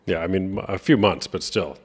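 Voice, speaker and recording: A man's voice: sits low, fairly even intonation, moderate pace, slight background noise. A man about to turn forty who hosts a casual debate, talking bluntly and chattily and reading out sources. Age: 30s.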